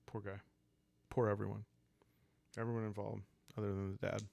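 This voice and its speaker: A man calmly narrating a solo role-playing game in a hushed, relaxing way, musing aloud as he goes. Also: fairly low pitch, moderate pace, gravelly.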